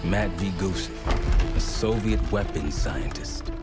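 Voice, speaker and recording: An actor playing a gruff, weary American special operations team leader in a video game cutscene. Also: male voice, low voice, lively intonation, a little slow, over loud music. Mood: grim briefing.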